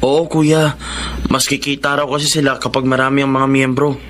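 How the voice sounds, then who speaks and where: A man's voice: mid-range voice, level tone, normal speed, fairly bright tone, close to an everyday voice. A man with a Filipino accent playing a curious, compliant younger brother in a noisy radio drama.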